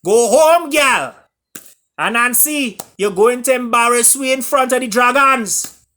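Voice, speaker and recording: Man as a loud, high-pitched jeering animal busybody. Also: unhurried, heavily acted. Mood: scornful jeering. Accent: Caribbean. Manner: loud, taunting, exclamatory.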